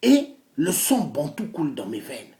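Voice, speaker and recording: A man's voice: on the high side, huge pitch swings, measured pace, dark tone. A man delivers an impassioned political monologue, declamatory and boastful, repeating phrases for emphasis. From Congo-Brazzaville. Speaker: self-styled pastor and political activist. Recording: echoey room sound.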